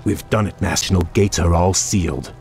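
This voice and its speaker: An actor playing a young, noble and loyal elf prince in a video game, earnest and formal. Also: male voice, deep voice, normal speed, darkish tone, faint music behind. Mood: eager. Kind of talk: video game cutscene acting.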